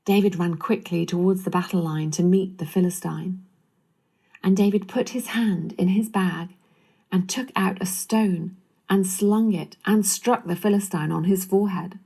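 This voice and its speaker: A woman's voice: on the low side, unhurried, vocal fry, dark tone, slightly rough. A woman narrating a scripture story from a script.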